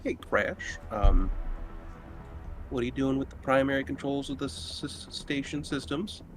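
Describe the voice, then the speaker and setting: A man's voice: medium pitch, measured pace, over music. A man playing a tabletop roleplaying game, chatting casually at the table. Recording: noticeable background noise.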